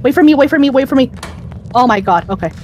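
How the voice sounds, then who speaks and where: A man in casual multiplayer gaming chat, excitable and repeating phrases in bursts. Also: very high voice, quick pace, a little hiss or hum. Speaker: fellow player. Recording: game music behind voice.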